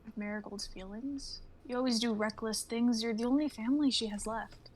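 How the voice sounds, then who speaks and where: Woman playing a blunt, high-voiced soldier. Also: fairly quick, a little mellow, natural voice. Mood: reproachful. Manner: blunt, no-nonsense. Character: blunt, hot-headed female soldier of a sci-fi coalition. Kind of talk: live dramatic script reading on a stream.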